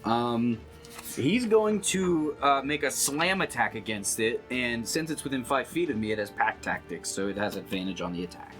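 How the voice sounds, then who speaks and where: A man's voice: mid-range voice, big pitch swings, normal speed, fairly bright tone. A man playing a tabletop game, chatting casually and thinking aloud with some hesitation. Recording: music faintly behind the voice. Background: background music.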